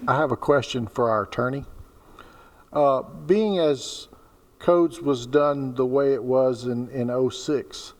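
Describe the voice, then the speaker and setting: A man's voice: medium pitch, slow. A man on the zoning board, asking a roundabout question of the attorney. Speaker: board member. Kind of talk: questioning at a public meeting. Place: council meeting chamber. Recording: reverberant meeting hall.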